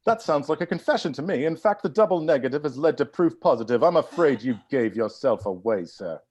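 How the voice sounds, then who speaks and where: Man playing a pedantic, husky, swooping butler. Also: mid-range voice, animated, a little fast, a little mellow, dull audio. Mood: accusing, smug. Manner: pedantic, pointed, theatrical. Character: fussy, pedantic, accusing male butler hosting suspicious dinner guests. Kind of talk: live table read of a film script.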